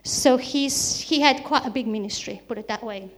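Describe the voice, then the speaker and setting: A woman's voice: on the high side, moderate pace. A woman from Bulgaria, a native Bulgarian speaker with a Bulgarian accent, preaching a sermon in an earnest style with repeated emphatic phrases.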